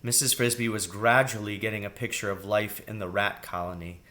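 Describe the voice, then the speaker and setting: A man narrating a children's storybook in a deliberate, expressive read-aloud style. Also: on the low side. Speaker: audiobook reader.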